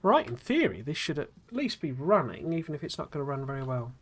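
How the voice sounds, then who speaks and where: Rambling man, slightly gruff. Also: on the high side, big pitch swings, brisk. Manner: rambling, chatty, many fillers and restarts. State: slightly gruff after a cold. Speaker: streamer. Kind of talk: casual live-stream chat while playing.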